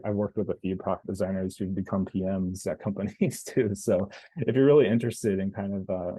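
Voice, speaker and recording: A man answering questions as a guest in a casual online panel, relaxed and agreeable, with loose phrasing. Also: low voice, no echo, rough recording.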